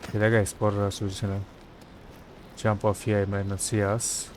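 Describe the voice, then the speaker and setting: A man's voice: lowish voice, completely flat delivery, moderate pace, slight background noise. A man doing live video game commentary as a streamer, casually muttering his thoughts aloud as he plays.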